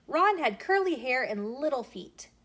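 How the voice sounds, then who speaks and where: Animated high-voiced woman reading children's stories. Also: measured pace. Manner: animated, expressive, addresses young listeners directly. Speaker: storyteller host. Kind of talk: children's story read-aloud. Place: bookshop, with ambient noise. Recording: clear background noise.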